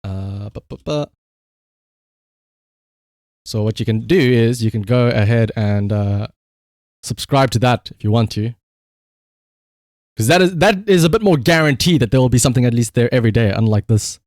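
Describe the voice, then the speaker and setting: A man's voice: medium pitch, expressive, moderate pace, long pauses, a little mellow, dry recording, studio quality. A man livestreamer chatting casually and rambling to his viewers, swearing freely with playful exasperation. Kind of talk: casual chat to stream viewers.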